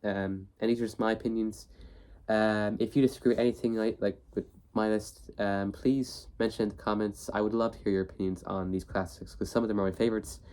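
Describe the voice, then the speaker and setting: Young male host, a bit sniffly from a cold, low voice, loose rambling delivery. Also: little pitch movement, a little fast, a little mellow. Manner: rambling, many fillers and restarts. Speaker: book video host. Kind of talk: casual talk to camera.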